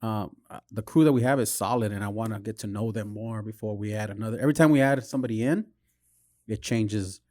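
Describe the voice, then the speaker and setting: A man's voice: lowish voice, fast, darkish tone, dry recording, clean studio sound. A man hosting a casual podcast, chatting informally and encouragingly. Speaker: podcast host and tattoo studio owner.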